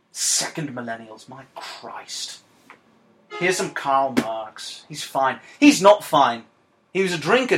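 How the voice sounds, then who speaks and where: A man playing a dead Argentinian woman writer as a comic podcast host, world-weary and scornful, with a halting, deadpan, exasperated delivery. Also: a little high. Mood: dry, muddled. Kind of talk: comic in-character monologue and dramatic reading.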